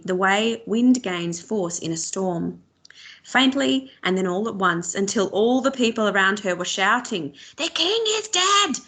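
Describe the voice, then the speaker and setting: A woman narrating a novel aloud for students in a measured, expressive storytelling manner. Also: medium pitch. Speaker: teacher reading aloud to students.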